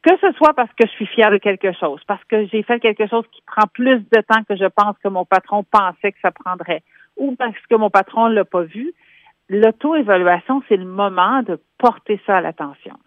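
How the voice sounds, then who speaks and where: A woman's voice: mid-range voice, normal speed, no echo. A woman with a Québécois French accent, a workplace columnist explaining with concrete examples as she calls in to a radio show. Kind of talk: radio phone-in commentary. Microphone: telephone. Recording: tinny phone line, dry.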